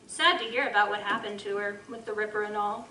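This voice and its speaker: A woman's voice: medium pitch, fairly even intonation, brisk, sharp tone, lightly acted. An actress playing a sly, streetwise woman of the night in a live audio drama, speaking in a teasing, knowing manner. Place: live convention stage. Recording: echoey stage from far off, faint noise.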